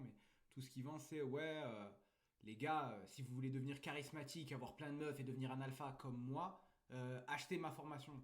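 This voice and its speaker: A man guesting on a reaction chat, mocking and sarcastic about the people he discusses. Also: on the high side. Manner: mocking, rapid-fire. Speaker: guest co-host. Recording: echoey room.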